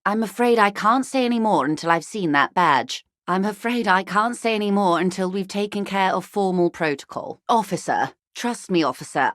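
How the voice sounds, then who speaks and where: A woman playing a composed, tactful corporate negotiator in a video game, speaking in a formal, measured and diplomatic way, firm but courteous. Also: mid-range voice, a bit bright, no echo, studio quality, close to an everyday voice. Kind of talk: video game character dialogue.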